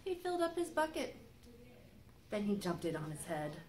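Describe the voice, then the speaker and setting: A middle-aged woman, a children's librarian, reading a picture book aloud to young children in an expressive, sing-song way. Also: medium pitch, moderate pace. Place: library reading area with magazine racks, indoors. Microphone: camera microphone a few feet away. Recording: faint background room noise.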